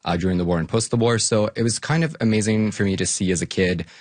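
A man from Bosnia-Herzegovina with a fairly low voice, speaking as an academic guest in an interview. He talks informally and conversationally, softening his points as he goes. The sound is very dry, with no room around it. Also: fast talker, dry recording. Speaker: academic guest, longtime fan of the topic.

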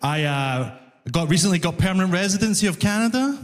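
A Scottish man with a Glaswegian accent performs stand-up in a high-pitched voice. His words ring out with long reverb from a live stage. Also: animated, fairly quick, some chatter behind. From Glasgow, Scotland. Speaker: stand-up comedian. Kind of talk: stand-up comedy routine. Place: live comedy venue on stage.

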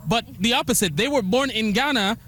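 A high-pitched male reporter from Montreal, talks in a chatty, personal way while introducing people he is with on location. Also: a little fast, bright, forceful tone, slight background noise. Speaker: field reporter.

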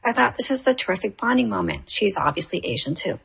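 A woman's voice: mid-range voice, expressive, fast, gravelly, a bit bright, husky. A middle-aged woman playwright, born in Korea and raised in Toronto, answering an interview question in an earnest, thoughtful way. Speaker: playwright guest.

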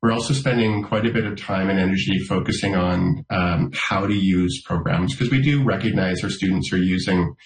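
A man working for a school district, giving measured interview answers with many "uh"s and "um"s. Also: deep voice, reverberant, rough recording. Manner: measured, filler-heavy explanations.

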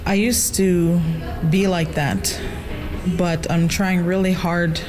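A woman's voice: fairly low pitch, steady tone, takes it slowly, over music, low-quality recording. A young woman who teaches a language, speaking in a reflective, earnest way. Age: young adult.

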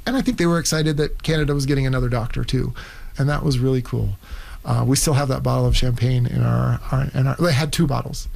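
A man's voice: medium pitch, fairly even intonation, fast, creaky, darkish tone. A middle-aged man telling his own story conversationally, acting out little quoted asides as he goes. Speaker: interviewee, immigrant doctor.

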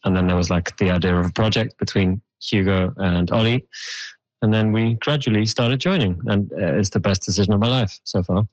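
A man from Switzerland, a band member, answering interview questions in a relaxed, hesitant way. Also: on the low side, fairly quick, no echo, dull audio.

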